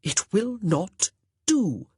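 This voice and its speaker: A pompous young gentleman lectures sternly, yet his authority keeps wobbling into confusion, as the audiobook narrator puts him on. The voice is a touch husky, with lively rises and falls, recorded close and dry. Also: high-pitched, extremely slow, no echo, pitched above the performer's own voice, heavily acted. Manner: stern, blustering, uncertain. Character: pompous flustered young man, stepbrother.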